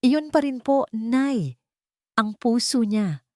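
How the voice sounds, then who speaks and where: A woman's voice: fairly high pitch, animated, slow pace, no echo, clean studio sound, voice pushed up. A Filipino-accented woman playing a scheming young daughter-in-law who feigns weary sorrow, in a narrated story. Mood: sad, pointed. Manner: sighing, pleading, weighty words.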